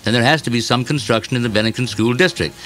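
A man's voice: mid-range voice, fast talker, fairly bright tone, a little hiss or hum. A man working as a radio news reporter, reading a scripted editorial in an emphatic, opinionated way.

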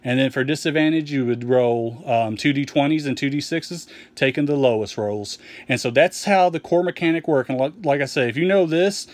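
A man explaining a tabletop game's core rules in a casual walkthrough, relaxed with frequent ums and restarts, recorded over an open rulebook on a table. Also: mid-range voice, normal speed, hardly any pauses, no room sound. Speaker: game publisher explaining rules.

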